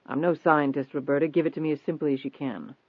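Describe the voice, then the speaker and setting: A man voicing a persistent, confident newspaper reporter in an audiobook, steady, probing and insistent. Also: on the high side, fast talker, dry recording, natural voice. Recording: thin phone-like band-limited audio.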